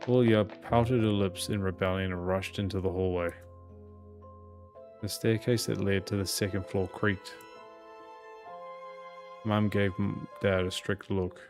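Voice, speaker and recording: A man's voice: low voice, fairly even intonation, measured pace, pauses often, a little mellow. A man reading visual novel narration aloud as a let's play host, occasionally stumbling and repeating phrases. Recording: game music behind the voice. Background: horror game music.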